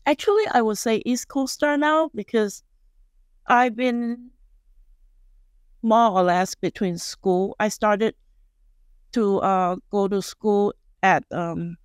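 Malaysian Chinese-accented woman, halting, dry studio sound. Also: medium pitch, clean tone, no room sound. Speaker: guest chef and culinary instructor. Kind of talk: casual podcast interview. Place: small podcast studio. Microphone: close studio microphone on boom arm.

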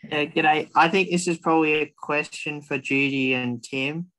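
Young guy on zoom asking a question, bright flat voice, easygoing. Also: a little high, moderate pace, rough recording. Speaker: audience member asking a question. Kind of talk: asking a question on a video call. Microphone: video call webcam.

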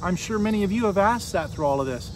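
Male American pastor, forties, high voice, conversational sermon delivery with pauses, recorded outside over noticeable ambient noise. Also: expressive. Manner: earnest, conversational, with pauses and rhetorical questions. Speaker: Lutheran pastor. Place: outdoors beside a fallen tree near a street. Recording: outdoor noise, insects and vehicles.

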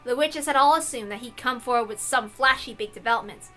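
A woman reads a visual novel as narrator. Her voice is high and bright, and she slips from scripted prose into casual commentary. Faint music plays behind her. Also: fairly quick. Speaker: reader and narrator.

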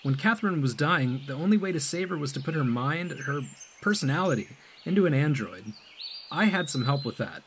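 An actor playing a cryptic male mentor in a science-fiction audio drama, measured and evasive. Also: fairly high pitch, expressive, a little hiss or hum. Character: cryptic, calm male mentor guiding an android.

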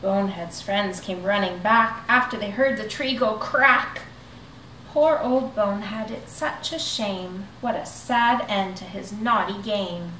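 A woman in her thirties reading a children's rhyming picture book aloud in an expressive, rhythmic way, seated in a living room. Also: medium pitch, measured pace, a little hiss or hum. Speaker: storybook reader. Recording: echoey room, mic at a distance.

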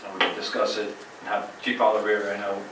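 Flat-voiced manager in a cavernous chamber. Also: male voice, fairly low pitch. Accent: New Jersey. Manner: measured, hesitant with many "um"s. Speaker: town manager. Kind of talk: council meeting report. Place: large council chamber, indoors. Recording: very echoey chamber from far off, audible noise.

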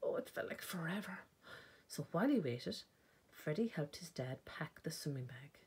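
An Irish woman reads a picture book for children. Her voice is very husky and breathy, set slightly low, and swings widely in pitch. She uses dramatic pauses and emphasis. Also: animated, darkish tone. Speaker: librarian reading a children's picture book aloud. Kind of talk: storytime read-aloud narration.

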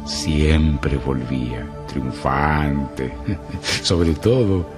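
A Chilean man playing the ominous, measured, dramatic storyteller of a radio mystery drama. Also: very deep voice, exaggerated voice. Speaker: narrator. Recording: music behind the voice.

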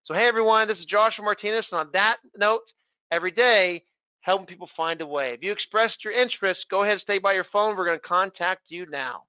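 A man with a high voice closes out his webinar with brisk, promotional thanks. His audio is narrow and phone-like, and completely dry. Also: dry recording. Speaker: webinar presenter. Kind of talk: closing remarks of a presentation.

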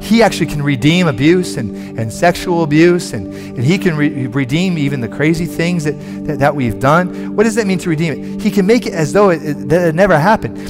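A man in his forties, a pastor preaching a sermon from a church stage on a headset mic, animated and conversational, with frequent restarts. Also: on the high side, a little fast, few pauses, music in the background. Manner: animated, moving about the stage and gesturing.

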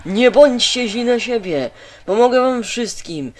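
A man voicing an excited royal crier who rallies the people in an amateur radio play. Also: very high-pitched, unhurried, over a noisy crowd, pitched above the performer's own voice. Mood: urgent, calling out.

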